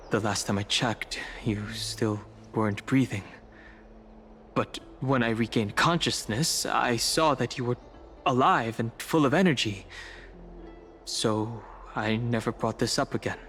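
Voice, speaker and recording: A man playing a serious, level-headed young adventurer in a fantasy game, reserved, earnest and quietly caring as he talks. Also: medium pitch, a little hiss or hum, natural voice. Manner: measured, earnest, reserved, with sighs. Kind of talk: scripted fantasy game dialogue.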